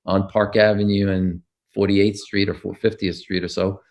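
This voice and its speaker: Older New man, low voice. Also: level tone, moderate pace, darkish tone. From United States. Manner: reflective storytelling with frequent fillers and restarts. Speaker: interviewee. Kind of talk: oral history interview.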